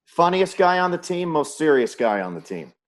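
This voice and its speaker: A man's voice: fairly high pitch, big pitch swings, moderate pace. A middle-aged man, a sports announcer, hosting a conversational interview and rephrasing his questions as he goes.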